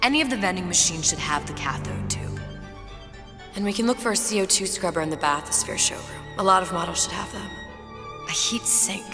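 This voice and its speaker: A woman's voice: fairly low pitch, lively intonation, a little fast. An actress playing a clever, anxious and sardonic young woman in a dramatic video game. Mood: thinking aloud. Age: young adult. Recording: long reverb, loud music behind.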